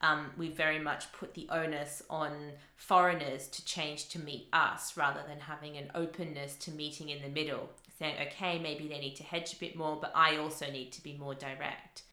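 A woman's voice: deep voice, flat delivery, normal speed, hardly any pauses, sharp tone, roomy sound. A woman who teaches English, talking through her thoughts with many fillers and restarts in a casual conversation.

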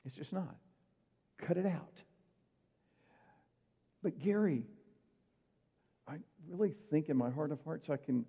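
A man's voice: mid-range voice, vocal fry, warm tone. A man preaching a sermon in a conversational way, asking his listeners rhetorical questions. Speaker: pastor. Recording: muffled hall reverb.

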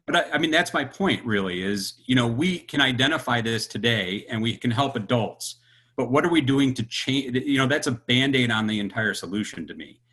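A man's voice: medium pitch, lively intonation, a little fast, sharp tone, breathy edge. A man in his fifties speaking sincerely and thoughtfully as a podcast guest. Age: 50s. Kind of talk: reflective conversation.

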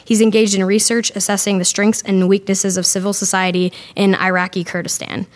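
A woman's voice: mid-range voice, flat delivery, a little fast. A young woman introducing speakers at a live event, upbeat and with many fillers. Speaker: event host.

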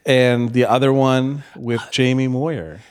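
A man's voice: medium pitch, fairly even intonation, takes it slowly, a little mellow, smooth. A man hosting a casual podcast, introducing a guest and asking easygoing questions with a joking tone. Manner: easygoing, joking, with frequent fillers. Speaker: podcast host.

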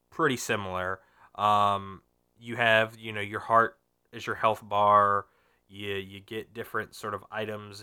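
Bright, crisp man with a stuffy cold. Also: lowish voice. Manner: rambling, profane, frequent fillers and restarts. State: has a cold, slightly nasal and sniffly. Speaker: podcast host. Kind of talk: casual gaming podcast chat.

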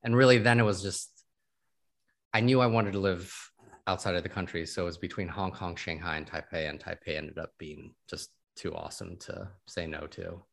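A man's voice: low-pitched, steady tone, normal speed, creaky. A man in his thirties from California, a guest on a podcast, talking about his background in an easygoing way. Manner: easygoing, laughs often. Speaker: podcast guest, creative director. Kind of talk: casual interview chat.

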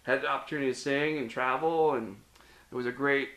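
A man's voice: medium pitch, fairly even intonation, fairly quick, over a noisy crowd. Middle-aged Midwestern man, interview guest, hesitant, ums and restarts, recorded in an echoey room. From Cincinnati, Ohio (US Midwest). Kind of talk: casual interview, telling his life story.

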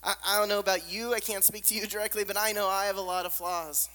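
A man with a squeaky voice preaching a sermon, hammering points through repetition and dropping to soft, hushed lines. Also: fairly even intonation, quick pace. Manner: emphatic repetition, drops to soft near-whispers. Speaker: pastor. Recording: long reverb of a large hall.

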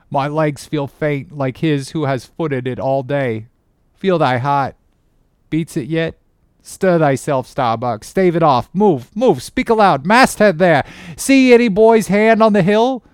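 A man's voice: fairly high pitch, darkish tone, smooth, no echo, clean studio sound, voice pushed up. A man playing a loyal, anguished first mate of a ship, speaking in solemn, pleading, archaic phrases. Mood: frantic.

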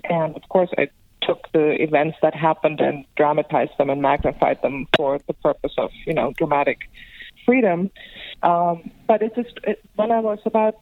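A woman's voice: deep voice, dry recording. Reflective woman on a tinny phone line. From Greece. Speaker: filmmaker guest. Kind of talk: reflective interview talk.

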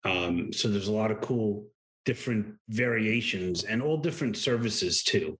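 A man's voice: on the low side, moderate pace, fairly bright tone, rough recording. A man hosting an interview in a casual, conversational style. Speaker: interview host.

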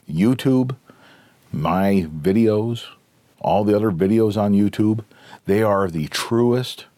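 A middle-aged man with a very low, hoarse voice, just getting over a cold, hosts his own podcast in a long earnest monologue. He presses his points with emphasis and circles back to repeat and reword them. The recording is dry, with no room sound. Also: a little mellow, dry recording. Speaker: podcast host.

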